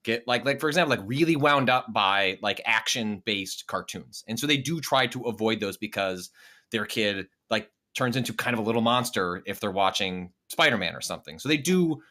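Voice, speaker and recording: A man's voice: fairly low pitch, animated, moderate pace, bright, forceful tone. A man in casual podcast conversation, talking in a loose, rambling way. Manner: rambling, frequent "like". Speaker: podcast panelist. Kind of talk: casual chat.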